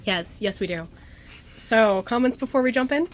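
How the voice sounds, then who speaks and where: A woman's voice: fairly high pitch, animated, normal speed, a little hiss or hum. A woman co-hosting a radio show in casual chat.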